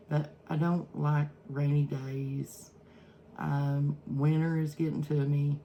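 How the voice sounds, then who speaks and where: An older woman with an Eastern Kentucky accent chats casually and haltingly to viewers in folksy, plain words, recorded at home on a phone. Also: sits low, vocal fry, mellow. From Eastern Kentucky, USA. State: feeling weak and unwell. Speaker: home cooking vlogger. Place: at home, indoors in a kitchen. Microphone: iPhone, no separate microphone. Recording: faint background noise, phone built-in microphone.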